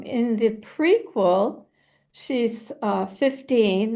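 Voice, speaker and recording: Creaky, unhurried American woman. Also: mid-range voice, mellow. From New Hampshire, United States. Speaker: guest, novelist. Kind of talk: casual interview storytelling.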